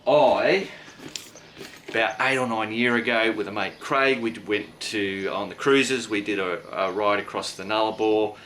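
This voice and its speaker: Low, bright-voiced Australian bloke. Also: male voice, measured pace, slight background noise. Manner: blokey, slangy, mildly sweary. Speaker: product review video host. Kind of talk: casual hands-on product review.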